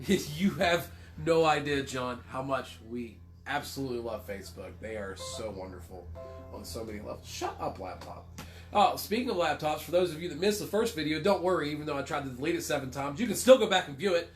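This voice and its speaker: A man's voice: on the high side, a little fast, faint music behind. A man with a cold, casually co-hosting a livestream, rambling, swearing and cracking self-deprecating jokes. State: congested with a cold. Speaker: livestream co-host.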